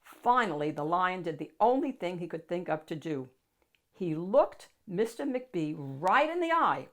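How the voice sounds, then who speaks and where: A woman narrating a children's picture book aloud with dramatic, expressive storytelling. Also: on the low side, unhurried.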